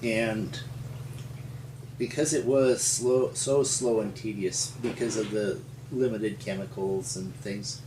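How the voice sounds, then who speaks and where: An older man from rural Montana with an American accent, telling his story in an interview in a halting, folksy, explanatory way. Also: on the low side, steady tone. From rural Montana, United States. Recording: roomy, distant mic with faint hum.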